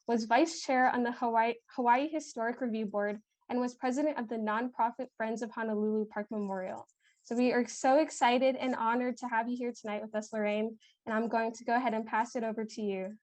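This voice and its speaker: A young woman moderates a lecture over a video call and reads an introduction for the speaker. Her voice is slightly high and sounds dry and close to the mic, and she delivers it steadily with frequent ums. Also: darkish tone, no room sound. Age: young adult.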